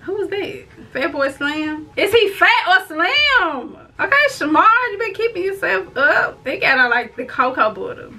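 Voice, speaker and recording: A woman in her twenties chatting casually on camera in her bedroom, teasing and animated as she scrolls her phone. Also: very high-pitched, a little slow, hardly any pauses. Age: 20s. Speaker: YouTuber. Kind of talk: casual reaction vlog. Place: bedroom, indoors.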